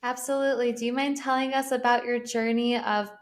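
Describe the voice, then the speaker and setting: Higher-pitched woman hosting a podcast. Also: fairly even intonation, brisk. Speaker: podcast host. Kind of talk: conversational podcast interviewing.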